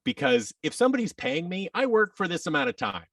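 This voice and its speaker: A man's voice: sits high, big pitch swings, brisk, fairly bright tone, no room sound, clean studio sound, distant mic. A man in his thirties talking casually as an interview guest, rambling and opinionated. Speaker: podcast guest, audio drama producer and actor.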